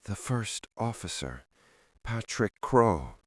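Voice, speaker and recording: Halting, low-voiced injured guard played by a man. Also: big pitch swings, no room sound, studio quality, exaggerated voice. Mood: pained, halting. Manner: halting, stammering. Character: injured guard regaining consciousness, pained and halting.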